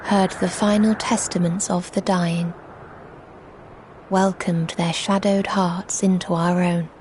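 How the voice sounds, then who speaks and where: An actress playing a mournful, otherworldly being who speaks for many in a video game, in solemn, declamatory, archaic language. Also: female voice, fairly low pitch, flat delivery, takes it slowly, darkish tone, a little hiss or hum, rough recording. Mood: grave reporting. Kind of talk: video game cutscene monologue, formal archaic narration.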